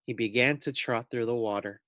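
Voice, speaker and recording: A man reading a children's storybook aloud as a teacher, with deliberate, clear phrasing. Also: mid-range voice, fairly even intonation, fairly bright tone, no room sound, clean studio sound.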